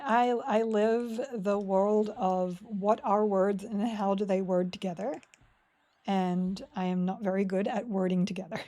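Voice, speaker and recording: A woman's voice: medium pitch, dark tone. A woman streaming a game live, chatting casually and rambling while she plays and reads chat aloud.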